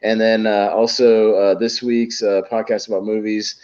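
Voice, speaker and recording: A man's voice: fairly low pitch, flat delivery, moderate pace, a little mellow, lo-fi sound. A man on a podcast panel chatting casually in a rambling, informal, sweary way. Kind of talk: casual chat, opinionated banter.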